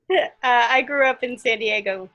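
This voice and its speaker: A woman's voice: on the high side, a little slow, fairly bright tone, no room sound, highs cut off. A woman attending a video call, chatting in a friendly, easygoing way late at night. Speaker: meeting attendee. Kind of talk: casual chat on a video call.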